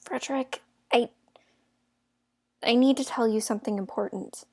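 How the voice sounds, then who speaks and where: A woman's voice: a little high, moderate pace, a little mellow. A woman playing a spirited, outspoken young fairytale heroine in an audio drama. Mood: hesitant, surprised.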